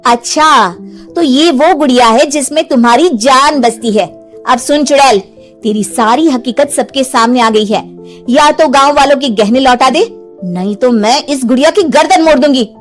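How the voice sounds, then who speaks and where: High-voiced brave village heroine, played by a woman. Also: lively intonation, normal speed, faint music behind. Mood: firm, threatening. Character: brave, clever village woman confronting a witch. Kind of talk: acted horror story dialogue.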